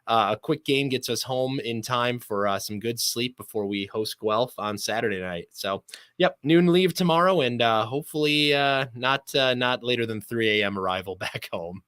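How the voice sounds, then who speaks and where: A male sports broadcaster chatting as a podcast guest. His voice is medium-pitched with a bright, forward edge and a very dry, close sound. He talks at length in an emphatic, appreciative way. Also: normal speed, clean tone, dry recording. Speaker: sports play-by-play announcer, interview guest. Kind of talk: conversational sports podcast interview.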